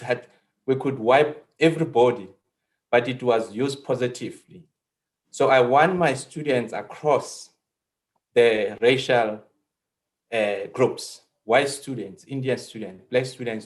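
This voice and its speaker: A man with a South African accent, a native Setswana speaker, speaking as a history professor on a panel in a halting, earnest, emphatic way. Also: medium pitch. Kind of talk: panel discussion.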